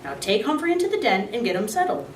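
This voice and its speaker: A busy, practical mother of four from a children's storybook, as a woman reads her, brisk and motherly in her manner, pitched a touch higher than the narration. The voice rings in a roomy room and sits back from the mic, with faint hiss behind it. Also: huge pitch swings, far from the mic. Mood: reassuring.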